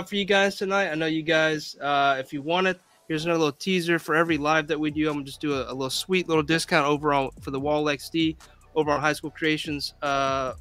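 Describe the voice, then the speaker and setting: Man, host, bright tone, higher pitch, nasal from a cold, faint music behind. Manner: upbeat shout-outs. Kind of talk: live stream hosting. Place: home studio, pink-lit room. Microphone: studio mic on boom.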